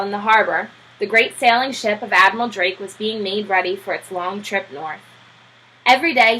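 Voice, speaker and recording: Bright, slightly husky woman reading aloud. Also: mid-range voice, poor audio. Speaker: storybook reader. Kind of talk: read-aloud narration of a children's novel.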